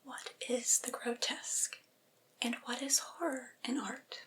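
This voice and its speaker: A woman reads literary prose aloud for ASMR in a soft, breathy whisper. Her pace is very unhurried, with careful, deliberate phrasing. The voice sits on the higher side, with almost no voiced tone. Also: little pitch movement. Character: devoted servile female vampire's familiar soothing master. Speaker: ASMR performer reading aloud.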